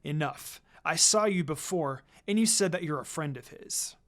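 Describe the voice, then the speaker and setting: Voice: mid-range voice, animated, moderate pace, natural voice. A narrator voicing a brooding, impatient young male ninja who speaks in a terse, stern, demanding way.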